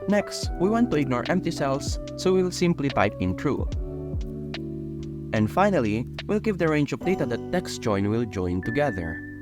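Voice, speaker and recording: A man's voice: mid-range voice, moderate pace, music in the background. Filipino young man explaining spreadsheet steps. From Philippines. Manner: steady explanatory step-by-step delivery. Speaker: tutorial host. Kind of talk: screen-recorded software tutorial.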